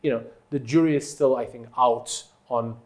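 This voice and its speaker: A man from Turkey with a mid-range voice speaks as an executive being interviewed. He is a native Turkish speaker who builds his answers in halting, conversational phrases, leaning on the points he wants to stress. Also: medium pitch, fairly even intonation. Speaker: company executive, interview guest.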